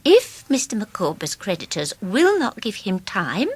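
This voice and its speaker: An actress playing a faded, middle-aged British gentlewoman, anxious and confiding, with a breathy voice, in a radio drama. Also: medium pitch, huge pitch swings, a little slow, no room sound, full character voice. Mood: plaintive, genteel. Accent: British English. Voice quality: husky, breathy. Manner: genteel, tremulous, confiding. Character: faded genteel wife, anxious, self-dramatising, proudly well-bred.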